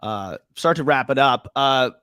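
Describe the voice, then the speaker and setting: A man at a middle pitch, hosting a podcast in a loose, joking way. He hesitates often with uhs. The sound is dry and close. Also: a little slow, smooth, no echo. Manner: relaxed, hesitant, many uhs, jokey asides. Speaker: podcast host. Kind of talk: casual podcast conversation.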